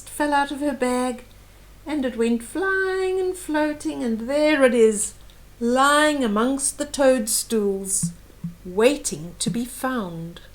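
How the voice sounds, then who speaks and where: A woman reading a children's story aloud with animated, dramatic emphasis and explanatory asides. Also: sits high, poor audio.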